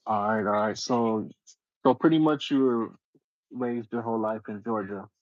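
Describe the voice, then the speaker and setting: A man's voice: on the low side, moderate pace, no room sound, narrow, tinny audio. A man hosting a casual livestream interview, slangy and agreeable, asking questions in a laid-back way.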